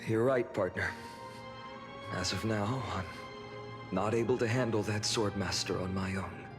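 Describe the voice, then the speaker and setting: An actor playing an earnest young male swordsman hero in a video game, burdened yet friendly, speaking in a measured way. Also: on the low side, lightly acted. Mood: solemn, resolute. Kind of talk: English game voice acting. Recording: long reverb, music behind.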